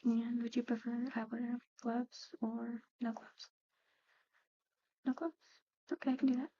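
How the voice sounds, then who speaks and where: A woman doing an ASMR roleplay, speaking softly and often slipping into whispers, with a hesitant, halting delivery. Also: a little high, little pitch movement, highs cut off. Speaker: ASMR performer.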